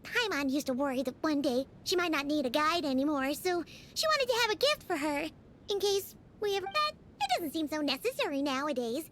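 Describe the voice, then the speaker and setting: A woman voicing a perky, childlike fairy companion in an anime game, speaking with a squeaky voice. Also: very animated, a little fast, a bit bright, a little hiss or hum. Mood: fond, earnest. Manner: speaks of herself in third person, perky. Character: small chirpy fairy girl companion and guide.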